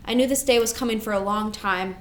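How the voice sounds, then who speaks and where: A woman's voice: medium pitch, fairly quick, a little hiss or hum, close to an everyday voice. A woman playing a responsible, composed elder sister grieving her mother in a staged play reading. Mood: solemn, measured.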